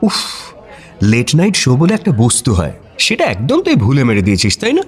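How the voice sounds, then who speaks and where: An actor playing a playful, pleading young husband in a radio romance, teasing and insistent in a conversational way. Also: male voice, medium pitch, wildly swooping pitch, a little fast, breathy edge. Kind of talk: Bengali radio romance acting. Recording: music bed under the voice.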